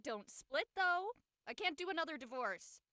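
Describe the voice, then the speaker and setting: A woman playing an excitable, self-deprecating young woman with a playful, dramatic way of talking in an audio drama. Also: high-pitched, moderate pace. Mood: sheepish joking. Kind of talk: acted audio drama dialogue.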